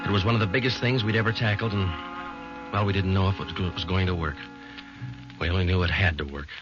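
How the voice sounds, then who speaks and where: Man playing a low voiced no-nonsense cop, clipped n understated, old radio show w music behind, muffled. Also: natural voice. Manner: clipped, matter-of-fact, understated. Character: terse, businesslike police sergeant narrating his cases. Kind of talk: 1940s radio drama narration.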